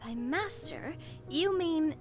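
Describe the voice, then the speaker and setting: A woman's voice: high-pitched, expressive, slow pace, music in the background. An actress playing a kindhearted, inquisitive, youthful girl adventurer in a video game, earnest and a little hesitant. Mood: curious, open.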